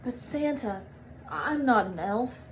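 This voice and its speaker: A woman voicing a sweet, excitable grown male Christmas elf in an earnest children's storybook read-aloud. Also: fairly high pitch, takes it slowly, a little hiss or hum, rough recording. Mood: sad, earnest. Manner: exclamatory, earnest.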